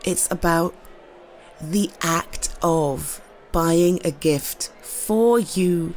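A British woman playing an affectionate, slightly shy girlfriend in an intimate roleplay, speaking softly and hesitantly to her partner. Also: on the low side, a little hiss or hum. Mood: tender, earnest. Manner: soft, hesitant, tender, with halting repeats.

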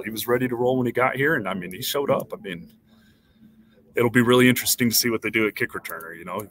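A man in his thirties talks through a postgame recap over a headset mic, loose and conversational, firing off numbers and restarting sentences. His voice sits a little low but sounds bright and forceful. Faint room ambience sits behind him. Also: slight background noise. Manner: conversational, rattling off stats with restarts. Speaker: sports beat reporter. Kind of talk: casual postgame live stream. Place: small indoor room.

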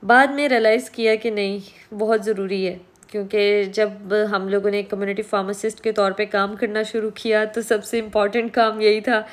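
A woman's voice: medium pitch, little pitch movement, moderate pace. A young woman vlogging casually to a phone camera at home, explaining what she has learned running a restaurant and mixing in English words. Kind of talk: casual talking-head vlog. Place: small indoor room at home. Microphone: phone camera at close range.

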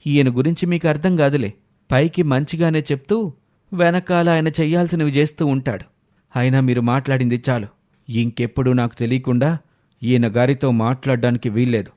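A man's voice: medium pitch, steady tone, brisk, darkish tone, natural voice. Man acting a stern, resentful father, curt. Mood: scornful, angry. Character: resentful younger brother, stern suspicious father of grown sons. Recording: music behind the voice.

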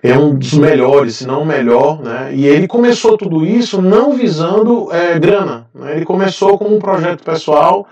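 A man in his thirties from Brazil talks straight to camera in a casual vlog. His voice sits a little high, and he rambles through fillers and restarts. The room gives his voice an echo, a bit distant from the mic. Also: normal speed, few pauses, darkish tone, muffled recording. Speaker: motovlogger, channel host. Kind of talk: casual talking-head vlog. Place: indoor garage with motorcycles. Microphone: lapel mic.

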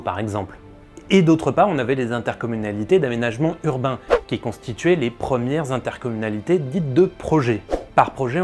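A young adult man with a French accent presenting a scripted explainer, didactic with playful colloquial asides, recorded indoors on a lapel mic. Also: mid-range voice. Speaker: presenter of an educational video. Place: small indoor room. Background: background music.

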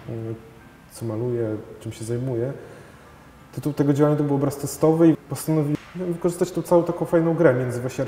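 A man in his thirties talks slowly through his ideas, stopping often to find words, in a warm, mellow voice. His voice carries a long reverb from a big gallery hall, with faint noise underneath. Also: mid-range voice. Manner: thoughtful, halting, many fillers and restarts. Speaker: painter. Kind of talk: gallery interview. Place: large gallery hall with paintings.

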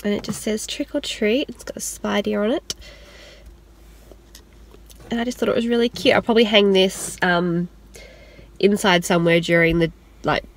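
A woman's voice: mid-range voice, fairly even intonation, normal speed, pauses often, slight background noise. A young Australian woman chatting casually in a parked car, rambling with lots of fillers and restarts as she shows her shopping finds. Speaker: lifestyle vlogger. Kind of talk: casual chat. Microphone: camera on the dashboard.

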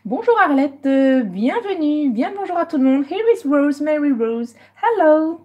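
Chatty Belgian woman with a higher voice. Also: animated, lo-fi sound. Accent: Belgian French. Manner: switches between French and English, English a little halting. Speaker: live-stream coloring host. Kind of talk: casual live-stream chat.

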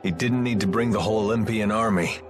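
A man voicing a weary, guarded middle-aged exile in a video game cutscene, explaining things in a measured way. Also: fairly low pitch, lightly acted. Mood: grave. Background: music.

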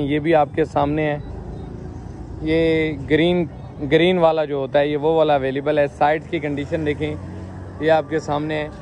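A man in his thirties walking around a used car market outdoors, showing cars and chatting with owners in brisk, repetitive patter. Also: a little high, darkish tone, slight background noise. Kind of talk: walkaround car showcase with owner chats.

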